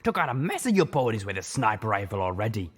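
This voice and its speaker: A man voicing a scowling, bitter monster dog in an audiobook, gruff and exasperated in manner. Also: lowish voice, very animated, fast talker. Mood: bitter, resentful. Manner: gruff, foul-mouthed, exasperated.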